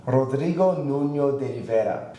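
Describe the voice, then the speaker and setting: A man's voice: mid-range voice, moderate pace, dull audio. Man, thirties, chef guest, casual rambling storyteller, roomy echo, faint hiss. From Canada. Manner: relaxed, rambling storytelling with many fillers. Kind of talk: casual podcast conversation. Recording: echoey room, faint ambient noise.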